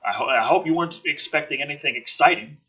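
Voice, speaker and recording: A man's voice: on the high side, quick pace, pushed and bright, husky. A man reading a script aloud theatrically, with sudden emphasis and sarcastic, exasperated, profane commentary. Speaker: host reading and mocking a film script.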